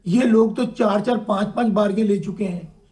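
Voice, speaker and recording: A hoarse man from Pakistan, a political guest arguing insistently in a heated talk-show debate and pressing to be heard. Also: high voice, normal speed, mellow. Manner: insistent, keeps asking to be heard.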